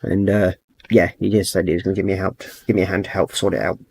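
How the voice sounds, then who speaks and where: A middle-aged man with a croaky throat, chatting easygoing and rambling as he hosts a livestream, reading out messages from chat. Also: low-pitched, little pitch movement, fast talker, darkish tone, dry recording. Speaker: livestream host. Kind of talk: casual livestream chat while painting models.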